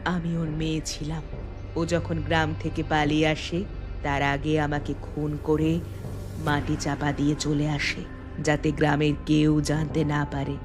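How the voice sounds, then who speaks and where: A woman voicing the grim, vengeful ghost of a murdered village girl in an animated horror story, speaking slowly and plaintively. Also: deep voice, music in the background.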